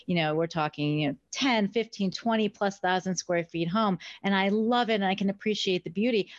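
A middle-aged American woman telling her story conversationally as an interview guest, in an animated way. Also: medium pitch, a little fast. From United States. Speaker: interview guest, company founder. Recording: roomy, slightly dull sound.

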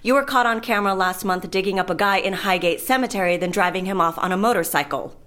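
A woman's voice: medium pitch, fast talker, a bit bright, natural voice. An actress playing a curt, skeptical woman who runs an underground outfit, speaking in a clipped, sardonic way in an audio drama. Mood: cold, interrogating. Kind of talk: scripted audio drama acting.

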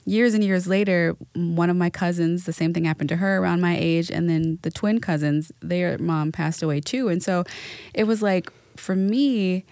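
A woman on a podcast talking reflectively about grief and offering gentle advice, with many fillers. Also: on the low side, normal speed, no room sound, clean studio sound. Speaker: guest. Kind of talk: podcast conversation.

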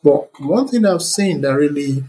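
A man's voice: fairly high pitch, expressive, unhurried, warm tone, breathy edge. Enthusiastic man. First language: Yoruba. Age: young adult. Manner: earnest and enthusiastic, many fillers. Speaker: interview guest, computer scientist. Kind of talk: relaxed conversational interview answers.

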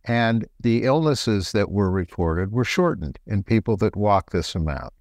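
A middle-aged man, a doctor co-hosting a health talk show, explaining things in a relaxed, conversational way. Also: lowish voice, a little slow, dry recording, clean studio sound. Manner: explanatory, relaxed, laughs lightly.

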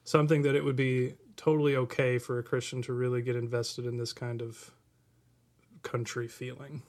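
A man's voice: mid-range voice, little pitch movement, normal speed, darkish tone. An American man co-hosting a podcast, talking informally and probing the discussion. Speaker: podcast co-host. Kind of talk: conversational discussion.

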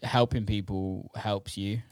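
A man with a low voice hosts a casual interview, speaking informally and with plenty of slang. The sound is dry and close, with very little room around him. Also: steady tone, slow pace, a little mellow, dry recording. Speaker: interview show host.

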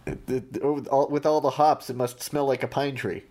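A man co-hosting a casual podcast. He speaks in a measured, dry way, often stumbling and restarting. His voice sits in a middle pitch. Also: fairly even intonation, a little mellow. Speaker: podcast co-host.

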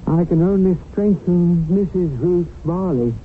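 Earnest, higher-voiced guardian spirit. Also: male voice, measured pace, phone-quality sound, slight background noise. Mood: earnest, resigned. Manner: rueful, confiding. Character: earnest, worried male guardian spirit telling his story. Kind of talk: 1970s radio drama narration and acting. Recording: thin 1970s radio recording with music. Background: music.

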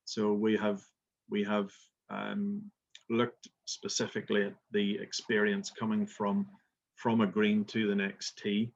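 A man's voice: on the low side, completely flat delivery, a little slow, dull audio. A middle-aged man from Belfast with a Belfast accent, a golf resort manager answering interview questions with many fillers and restarts. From Northern Ireland.

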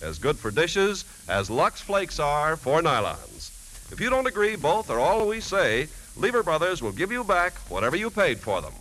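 An American man working as a 1950s radio announcer, delivering a polished, folksy sales pitch. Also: very animated, moderate pace, a bit bright, slight background noise, rough recording. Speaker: announcer and commercial spokesman. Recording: 1950s radio broadcast, faint music.

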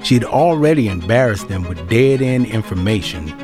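A man's voice: fairly low pitch, lively intonation, normal speed, music in the background. A man narrating an audio drama as a storyteller with wry asides.

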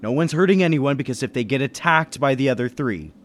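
A man's voice: mid-range voice, lively intonation, a little fast, natural voice. An actor playing a suspicious businessman who takes charge with folksy authority in a 1930s-style radio mystery. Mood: suspicious, commanding. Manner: commanding, folksy interrogator. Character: suspicious businessman narrator taking charge of a murder inquiry.

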